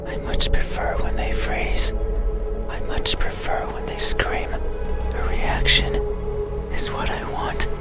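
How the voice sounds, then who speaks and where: Male actor as whispering game creature: lonely, brooding, menacing, very slow and deliberate, loud music underneath. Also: close to an everyday voice. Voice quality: breathy whisper. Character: lonely, brooding, menacing monster stalking a sleeper. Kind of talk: ASMR visual novel monologue. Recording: music behind the voice.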